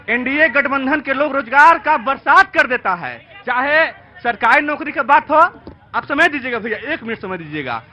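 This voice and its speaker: A man's voice: extremely high pitch, sharp tone, muffled recording. A man with a Bihari accent making an impassioned political argument as a party spokesperson. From Bihar, India.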